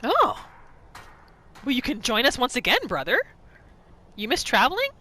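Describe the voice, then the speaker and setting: A woman narrating a role-play gaming video, musing aloud about her character in an expressive, questioning way. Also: on the high side, normal speed, slight background noise.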